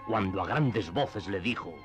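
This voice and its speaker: A man narrating a classic novel in a radio drama, with measured literary storytelling. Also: on the low side, expressive. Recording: slightly dull, band-limited audio. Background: faint background music.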